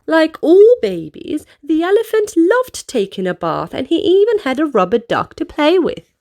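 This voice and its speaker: A woman's voice: sits high, big pitch swings, normal speed, darkish tone. A woman reading storybooks aloud to children expressively, addressing young listeners with questions and exclamations.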